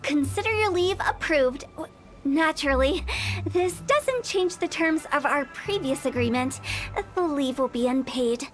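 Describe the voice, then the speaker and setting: A woman's voice: sits high, expressive, unhurried, soft background music. A woman voicing a playful, macabre young funeral director with a teasing, mischievous, giggly way of talking. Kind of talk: game cutscene voice acting.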